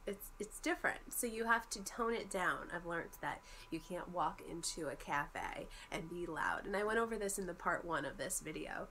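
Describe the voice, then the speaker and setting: A woman's voice: fairly low pitch, normal speed, hardly any pauses, bright, forceful tone, a little hiss or hum. A young woman with a congested voice from a cold, chatting and explaining in a casual vlog. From United States. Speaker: vlogger. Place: bedroom at home.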